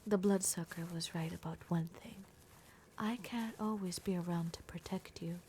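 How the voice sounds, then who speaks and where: A woman's voice: lowish voice, steady tone, normal speed, mellow, slight background noise, natural voice. A woman voicing a blunt, protective young werewolf girl in an ASMR roleplay, often dropping into a whisper. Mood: protective, soft. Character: gruff, protective young werewolf girl, exasperated but caring.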